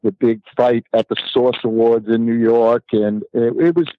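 A man chatting casually as an interview guest, telling anecdotes in a halting way that repeats and rephrases. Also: medium pitch, level tone, no echo, phone-quality sound. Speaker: DJ and former record promoter, interview guest.

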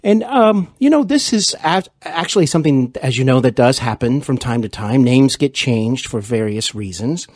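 A man hosting a conversational podcast interview, effusive and halting, often repeating words as he searches for phrasing. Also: medium pitch, animated, a little mellow, dry recording, studio quality.

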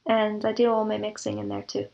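A woman's voice: medium pitch, sing-song, brisk, a little mellow, dull audio. A woman speaking as an interview guest, explaining her creative work in a thoughtful, descriptive way. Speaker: guest composer and audio drama producer. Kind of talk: reflective interview talk.

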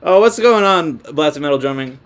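A man's voice: a little high, lively intonation, a little fast. A man whose first language is Latvian, co-hosting a podcast in casual chat, rambling and correcting himself, often laughing. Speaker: podcast co-host.

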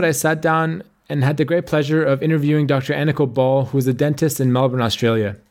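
A young man hosts a podcast in a friendly, mid-pitched voice. He reads a scripted intro, then slips into a casual interview with plenty of filler words. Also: darkish tone. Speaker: podcast host and dentist. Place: Australia.

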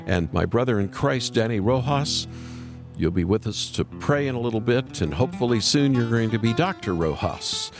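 A man hosting a ministry podcast, introducing his guest with an expressive, preacher-like delivery. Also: medium pitch, moderate pace. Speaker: podcast host. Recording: light guitar music underneath. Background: soft guitar music.

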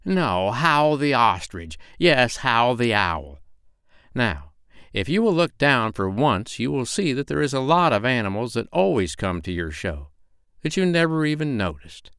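A man's voice: medium pitch, very animated, normal speed, gravelly, fairly bright tone, smooth, no room sound, clean studio sound, voice pushed up. A man voicing a wry, pedantic owl who hoots and talks with sarcasm in a children's bedtime story. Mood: wry, instructive. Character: hooting, wry, sardonic male owl.

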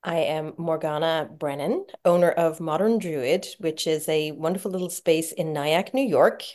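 A woman from Ireland with an Irish accent, a podcast guest who talks with many pauses and restarts. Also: sits low, a little mellow. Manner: hesitant, many fillers and restarts. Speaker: guest, shop owner. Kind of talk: casual podcast chat.

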